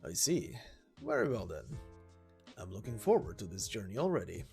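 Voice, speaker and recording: A man voicing a big, reserved, courteous man in a game story, speaking in a polite and measured way. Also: on the low side, wildly swooping pitch, gravelly, a little mellow, faint music behind, pitched below the performer's own voice, heavily acted. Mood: gentle, courteous.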